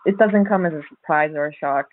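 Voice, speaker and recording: A woman speaking as a podcast guest co-host, chatting casually about horror films in hesitant, halting phrases. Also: sits low, a little hiss or hum. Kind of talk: casual horror film chat. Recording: narrow phone-like call audio, dry.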